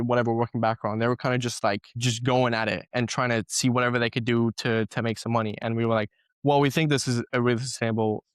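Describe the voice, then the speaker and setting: A man's voice: mid-range voice, clear, resonant tone, dry recording, clean studio sound. A man in his twenties with a South African accent, a guest telling his story in a casual podcast interview, chatty with many fillers and restarts. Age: 20s. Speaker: guest entrepreneur.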